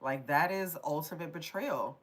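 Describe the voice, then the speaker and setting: Low, stuffy-voiced woman streaming. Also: normal speed, fairly bright tone. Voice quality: stuffy, nasal from a cold. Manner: chatty, rambling, addresses viewers directly. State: getting over a cold. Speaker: tarot reader hosting a live stream. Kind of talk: casual live-stream chat.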